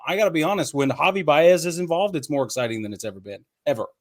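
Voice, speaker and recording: A man's voice: on the high side, animated, quick pace. Enthusiastic man chatting about sports. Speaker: sports podcast co-host. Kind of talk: casual baseball chat.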